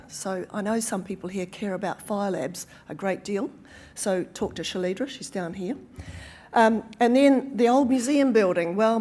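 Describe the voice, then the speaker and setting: A woman's voice: medium pitch, a little mellow. A woman with a New Zealand accent speaks at an awards night into a lectern microphone. She talks loosely, with ums, restarts and wry asides. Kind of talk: after-dinner speech. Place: event hall.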